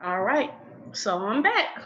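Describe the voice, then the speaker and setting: A woman's voice: on the high side, big pitch swings, takes it slowly, sharp tone, dull audio. A woman giving an online training session in a casual, chatty way, often checking in with "okay?". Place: indoors, on a video call. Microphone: video call.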